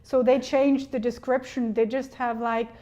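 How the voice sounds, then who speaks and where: A chatty woman speaks as an HR and recruiting guest in a relaxed interview. Her voice sits a little on the high side, and she talks quickly with frequent restarts. The audio is slightly dull and lo-fi. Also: background noise. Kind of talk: casual interview conversation.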